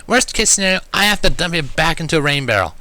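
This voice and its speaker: A man's voice: on the high side, sing-song, fast, pushed and bright, no echo, pitched above the performer's own voice, exaggerated voice. A man voicing a brash, blunt female pony with a mocking manner, in a fan fiction reading. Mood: teasing. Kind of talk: fan fiction storybook character voice.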